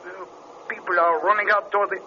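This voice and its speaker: An anxious, loyal French airman in a vintage radio thriller. The voice is high and very sharp-edged, French-accented and halting, on a noisy old recording. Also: steady tone, lo-fi sound. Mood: horrified. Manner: agitated, halting, polite. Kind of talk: 1940s radio horror acting.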